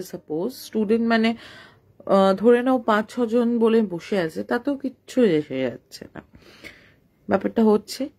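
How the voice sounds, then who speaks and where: Woman, slightly rough husky voice, conversational ghost storyteller, explanatory, rephrases often, English words mixed in. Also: on the high side, a little mellow.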